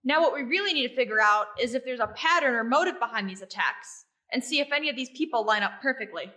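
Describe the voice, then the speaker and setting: A woman's voice: fairly high pitch, expressive, fast talker, sharp tone. A woman playing a studious, earnest and composed young male researcher in an audio drama. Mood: earnest, explaining.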